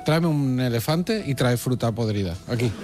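A man's voice: mid-range voice, lively intonation, moderate pace, noisy background. A man in his fifties with an accent from Spain, co-hosting a talk show in casual, grumbling comic banter, ranting and repeating himself for emphasis. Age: 50s. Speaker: comedy talk show co-host.

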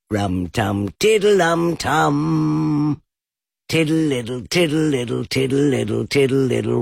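A man's voice: low-pitched, gravelly, breathy edge, dry recording, clean studio sound, heavily acted. A man doing Pooh, a humming honey-loving bear. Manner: hums to himself, dreamy and innocent.

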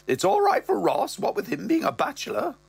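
Male reader doing the posh boozy old-dear mother: high, swooping, husky, imperious drawl. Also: wildly swooping pitch, dry recording, heavily acted. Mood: haughty, droll. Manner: imperious, drawn-out, scandalised. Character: posh elderly mother, haughty, tipsy, blunt.

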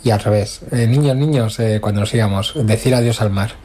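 Tender man from Madrid, low voice. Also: fairly quick, dark tone. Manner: tender, nostalgic. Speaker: caller. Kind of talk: voice message to a radio show.

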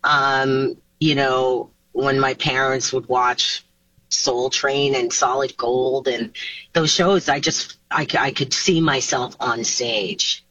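A woman, a singer taking part in an interview over a video call, answering casually with animated pitch swoops and many restarts. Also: slow, no room sound. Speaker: singer, guest. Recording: dull, dry video call audio.

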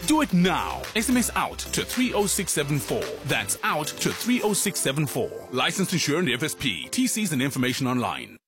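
A man voices a radio advert with a high, bouncy, sing-song delivery. The pitch swings widely and the tone is upbeat and salesy. Clear hip hop music plays behind him. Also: wildly swooping pitch, moderate pace, few pauses. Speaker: advert voice-over.

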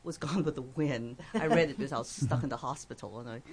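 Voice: mid-range voice, quick pace, a crowd in the background. Playful fiction writer, laughing often. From outside the US. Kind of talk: conversational panel talk.

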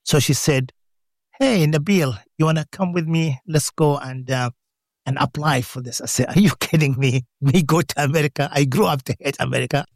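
A man in his sixties with a Lebanese Arabic accent, a guest in a radio interview, telling his life story with animated, laughing delivery. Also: on the high side, moderate pace, no echo. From Jordan. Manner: animated, acts out voices, laughs while telling.

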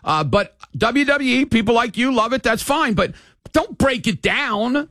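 Older guy hosting sports talk, opinionated, gravelly, dry close sound. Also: extremely high pitch, unhurried, dry recording. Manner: opinionated, animated, rambling. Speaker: sports radio host. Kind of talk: casual sports talk radio.

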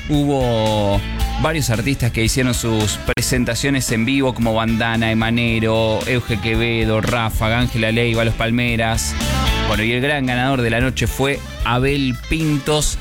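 An Argentine Spanish-accented man hosts morning radio, running briskly through headlines and weather. Guitar music plays clearly under his voice throughout. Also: mid-range voice, level tone. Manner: brisk, conversational news reading. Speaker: radio morning show host. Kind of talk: radio news and chat. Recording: radio broadcast over music bed.

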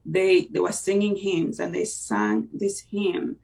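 Gravelly voice, halting. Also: mid-range voice, sing-song, a little mellow, recorded from a distance, rough recording. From Uganda. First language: Luganda. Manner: reflective, halting, with many fillers and restarts. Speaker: interview guest. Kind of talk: personal faith testimony in conversation.

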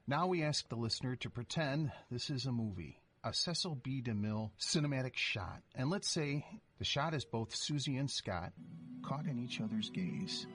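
A man's voice: mid-range voice, lively intonation, normal speed, fairly bright tone. A man narrating a story, setting each scene in a vivid, descriptive way. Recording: music underneath.

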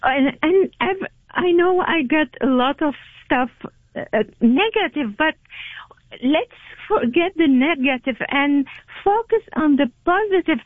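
A woman with a French accent calls in on a tinny phone line, speaking slowly and earnestly. She often repeats and restarts words as she searches for them in English. Her voice is high and sits close and dry. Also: dry recording. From immigrant to Canada. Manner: earnest, halting, many repetitions and restarts. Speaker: caller. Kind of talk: phone-in call.

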